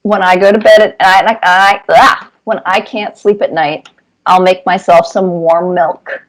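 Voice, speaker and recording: A middle-aged woman answering interview questions conversationally, with thoughtful, deliberate phrasing. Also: lowish voice, steady tone, poor audio. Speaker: interview guest, cartoonist.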